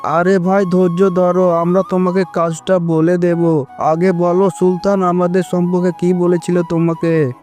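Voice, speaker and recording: A man voicing a self-satisfied young landlord's son in a cartoon, speaking in a measured, patronising way. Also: high-pitched, monotone, a little mellow, faint music behind. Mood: coaxing. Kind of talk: cartoon dialogue acting.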